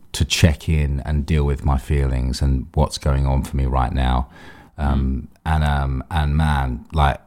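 A man talking candidly and casually about himself in an informal, halting way, with casual swearing. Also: extremely low pitch, warm tone. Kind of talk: candid, casual personal conversation.

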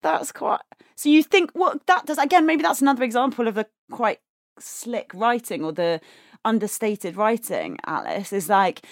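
A woman's voice: a little high, very animated, moderate pace, no echo. Woman, podcast guest, eager and reflective, dry close sound. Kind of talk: casual film chat.

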